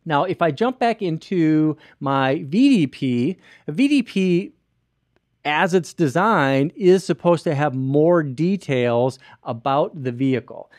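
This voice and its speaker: A man's voice: on the high side, lively intonation, slow pace, darkish tone, no echo. A man in his thirties hosting an explanatory web show from a small studio, talking into a close podcast mic with many fillers and restarts. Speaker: host. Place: small studio with screen behind. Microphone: boom-arm podcast mic close to mouth.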